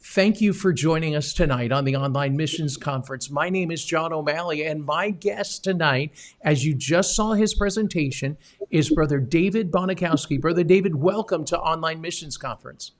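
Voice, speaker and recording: A man's voice: a little high, moderate pace. A man hosting an online missions interview, upbeat and speaking directly to the viewers. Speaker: host.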